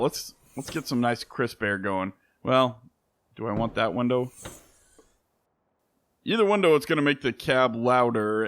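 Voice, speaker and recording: A hoarse young man, rambling through casual gameplay commentary from a spare-bedroom home studio, chuckling and correcting himself as he goes. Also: mid-range voice, fairly bright tone. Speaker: let's play host.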